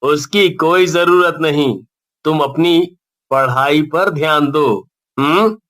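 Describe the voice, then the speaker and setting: A woman's voice: deep voice, slow, fairly bright tone. A woman voicing a kindly, wise male schoolteacher who gives fatherly advice in a cartoon story. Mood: kind, reassuring. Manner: fatherly, advising.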